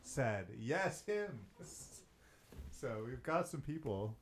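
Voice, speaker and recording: Easygoing man, slow and lively in pitch. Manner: easygoing, reading chat aloud. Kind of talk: casual livestream chat.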